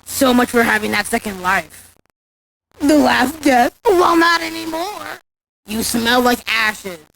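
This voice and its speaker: Gravelly, taunting killer monster, voiced by a woman. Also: fairly high pitch, lively intonation, slow pace, a little hiss or hum, heavily acted, poor audio. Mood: mocking contempt. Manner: mocking, menacing, shouted taunts. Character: taunting, boastful, bloodthirsty speed-obsessed killer monster. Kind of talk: video game character voice lines.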